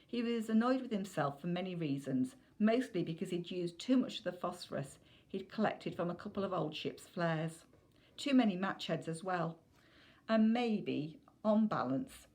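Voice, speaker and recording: Woman, slightly low voice, storybook narration, children's novel read aloud. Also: darkish tone.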